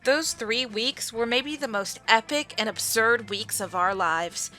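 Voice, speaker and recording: A woman's voice: on the high side, unhurried, pushed and bright, faint music behind. A woman reading scripted narration for a visual novel style video game dub.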